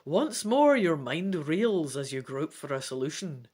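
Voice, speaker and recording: Woman host, dramatic storybook reader with very animated pitch and chatty, self-deprecating asides. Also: unhurried, slightly rough. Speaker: host of a gamebook let's play.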